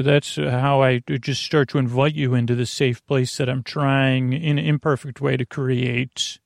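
A man hosting a bedtime podcast in a gravelly voice, rambling and halting as he wanders off into tangents. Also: mid-range voice, dry recording, clean studio sound. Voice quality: gravelly, creaky. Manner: meandering, trails off into tangents; halting, stumbling delivery. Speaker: podcast host.

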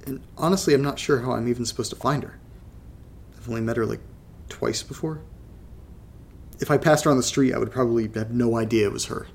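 An actor playing a troubled young man recording a halting, confessional audio diary for a horror drama, his voice slightly congested. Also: medium pitch, gravelly, a little hiss or hum, lightly acted. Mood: troubled, searching.

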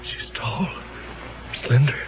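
Male radio drama aide, acted, earnest, reverent, deliberate phrasing, muffled vintage broadcast with music. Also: in a whisper, natural voice. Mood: earnest, admiring. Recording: dull old radio, music behind.

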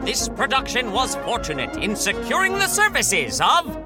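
Animated high-pitched man announcing. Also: normal speed. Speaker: announcer reading credits. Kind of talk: scripted 1930s radio announcing. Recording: music behind the voice.

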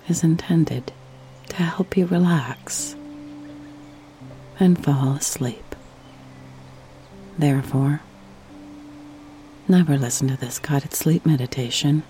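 A woman guides a sleep meditation in a soft, breathy, mellow voice. She speaks very slowly and soothingly, with long pauses. Faint music plays underneath. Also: sits low. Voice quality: soft, breathy, near whisper at times.

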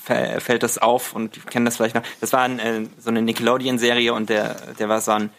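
A man's voice: mid-range voice, level tone, fast talker, gravelly, fairly bright tone. A man as a podcast guest, bantering casually and playfully and dropping English slang into his colloquial speech.

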